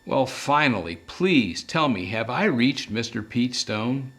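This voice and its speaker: A man's voice: medium pitch, lively intonation, slow pace, a bit bright. A man voicing a priggish, anxious male English professor in a detective audiobook, speaking formally and wordily. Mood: impatient. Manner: formal, wordy, fussy.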